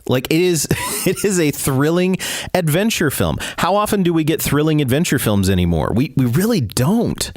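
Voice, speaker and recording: A man's voice: on the high side, big pitch swings, moderate pace, a little mellow, no echo, studio quality. A man co-hosting a podcast, talking about films in a casual, enthusiastic way, with many fillers and restarts. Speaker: podcast co-host.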